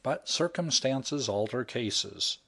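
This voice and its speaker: A man's voice: lively intonation, gravelly, a bit bright, breathy edge. A man narrating a comic short story as an audiobook reader. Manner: unhurried, low storytelling voice.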